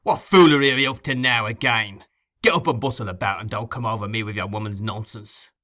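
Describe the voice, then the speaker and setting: A man's voice: medium pitch, animated, normal speed, pushed and bright. A man voicing a surly, brutal male thief who growls and curses, in a storybook reading. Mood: savage. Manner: growling, savage, cursing.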